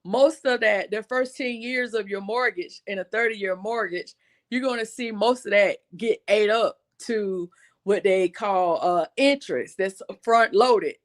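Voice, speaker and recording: A woman, hosting a casual livestream and explaining things to viewers in a talkative way, often repeating herself for emphasis. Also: mid-range voice. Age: 40s. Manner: conversational, addresses viewers as y'all, repeats points for emphasis. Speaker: livestream host.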